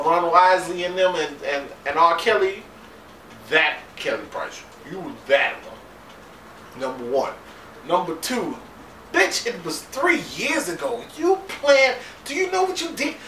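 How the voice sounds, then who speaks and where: Animated, laughing man in an echoey room. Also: high voice. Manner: animated, incredulous, laughing, profane. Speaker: vlogger recapping a TV episode. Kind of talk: casual comedic recap. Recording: roomy, distant mic, faint background noise.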